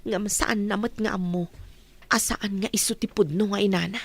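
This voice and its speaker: A woman's voice: medium pitch, fairly even intonation, moderate pace, close to an everyday voice. A woman with an Ilocano accent playing a wronged mother bent on revenge in a radio drama, speaking with intensity. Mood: determined. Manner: determined, intense.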